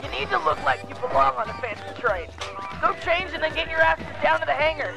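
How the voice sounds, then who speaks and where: A man voicing an impatient, bossy little officer in a comic dub, brusque, clipped and creaky. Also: very high-pitched, animated, brisk, over music, heavily acted, poor audio. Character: impatient, bossy little male officer hurrying agents along. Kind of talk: scripted cartoon acting.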